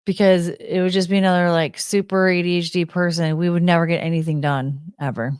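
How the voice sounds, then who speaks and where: A woman's voice: on the low side, fairly even intonation, brisk, darkish tone, clear, resonant tone, no room sound, clean studio sound. An American woman hosting a live stream, chatting in a rambling, unfiltered way with casual swearing. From United States.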